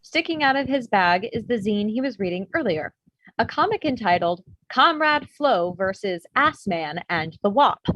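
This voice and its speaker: A woman narrates stage directions from a script with animated intonation. Her voice sits mid-range. The recording is dry but dull and lo-fi. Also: medium pitch, normal speed, no echo. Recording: dull, lo-fi video call sound.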